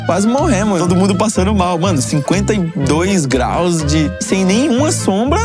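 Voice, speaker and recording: A man's voice: sits high, big pitch swings, moderate pace, music in the background. A man with a Brazilian accent chatting in informal slang in a documentary interview.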